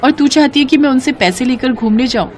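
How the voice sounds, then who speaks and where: A woman's voice: high-pitched, quick pace, a little mellow, a little hiss or hum, voice pushed up, natural voice. A woman voicing a modest, principled young college woman in a cartoon story, earnest and restrained with a hurt edge. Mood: reluctant, hurt. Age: young adult.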